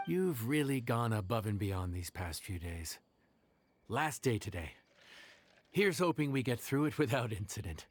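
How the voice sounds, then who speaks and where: A man's voice: fairly low pitch, sing-song, unhurried, husky, exaggerated voice. An actor playing a kindly, encouraging middle-aged male innkeeper in a video game.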